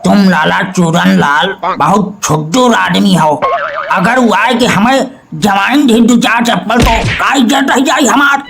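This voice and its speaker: High, creaky old cartoon uncle. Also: male voice, a little slow, over music. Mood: defensive. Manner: rustic, rambling, protesting. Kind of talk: Hindi comedy cartoon dialogue.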